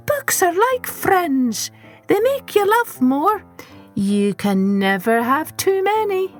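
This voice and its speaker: Storybook bookish man played by a woman, kindly, excitable, high-pitched, gushing, swooping intonation. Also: slow, soft background music, full character voice. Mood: delighted, insistent. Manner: gushing, exclamatory.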